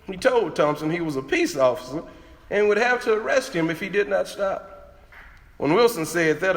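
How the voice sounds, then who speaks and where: A man playing a young former slave giving eyewitness testimony in an animated, earnest dramatic reading. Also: high voice, heavily acted. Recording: echoey hall with long reverb.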